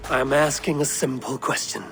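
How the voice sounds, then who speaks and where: A man playing a calm, blunt young Viking raider in video game dialogue, speaking in a direct, measured way. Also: on the high side, faint music behind. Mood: blunt, questioning.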